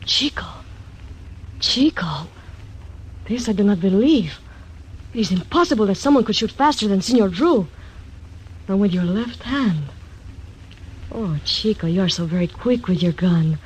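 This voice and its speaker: A woman's voice: medium pitch, lively intonation, measured pace, long pauses, darkish tone, slight background noise, close to an everyday voice, poor audio. An actress playing a seductive, scheming woman gambler, in 1940s radio acting. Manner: coaxing, pleading.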